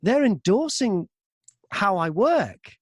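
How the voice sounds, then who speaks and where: A man's voice: high-pitched, big pitch swings, slow pace, breathy edge, dry recording, clean studio sound. A man chatting casually as an interview guest, an audiobook narrator who tells stories by acting out both sides of exchanges. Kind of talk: casual anecdotal interview chat.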